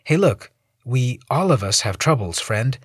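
A man's voice: mid-range voice, sing-song, a little slow, husky, no echo, studio quality. A man voicing a wisecracking young male superhero who talks in jokey, breezy quips. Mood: friendly.

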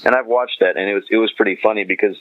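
A man's voice: on the low side, steady tone, rapid-fire, no echo, narrow, tinny audio. A man chatting casually as a podcast guest, slangy and often chuckling as he retells things. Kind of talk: casual chat, animated retelling.

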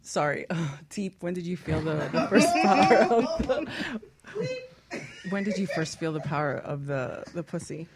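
A woman hosting a casual radio talk show, playful and quick to laugh, reading a short excerpt aloud. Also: low-pitched, a loud crowd. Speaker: host.

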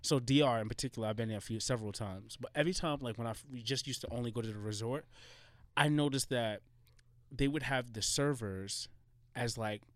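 A young man talking loosely on a podcast, dropping curses and leaping into loud, animated voices when he quotes people. His voice sits at an average pitch and sounds dry and close, with no room around it. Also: brisk, dry recording. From United States. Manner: animated, swears a lot, acts out quoted speech loudly. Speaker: podcast host. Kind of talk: casual chat.

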